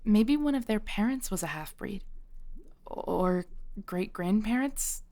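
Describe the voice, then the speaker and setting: Woman playing an unhurried centuries-old witch shopkeeper. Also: medium pitch, expressive, mellow. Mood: pensive, puzzled. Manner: unhurried, pausing, sighing, conversational. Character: centuries-old female witch shopkeeper, patient, knowing, protective. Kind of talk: audio roleplay acting.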